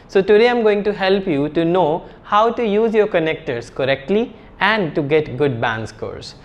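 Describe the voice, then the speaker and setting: A man's voice: on the high side, dull audio. A man with an Indian English accent teaching an IELTS grammar lesson at a whiteboard, explaining step by step and repeating key words.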